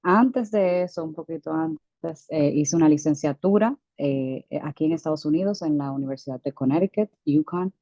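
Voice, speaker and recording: Low-voiced woman with many fillers. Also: normal speed, few pauses, warm tone, no echo, highs cut off. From United States, Dominican parents. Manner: hesitant, many fillers and restarts. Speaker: guest, dentist. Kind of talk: conversational interview.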